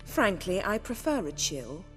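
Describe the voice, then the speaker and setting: A woman's voice: medium pitch, sing-song, a little slow, soft background music. A woman playing an imperious sorceress with a haughty, formal and acerbic way of talking in a video game. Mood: cold anger. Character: imperious, sharp-tongued adult woman, a sorceress. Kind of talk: video game cutscene acting.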